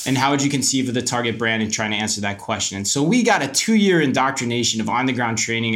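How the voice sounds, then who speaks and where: A man's voice: mid-range voice, a bit bright. A middle-aged man speaking as an interview guest, telling his story in a candid, emphatic, talkative way. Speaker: guest, retail media co-founder and commentator.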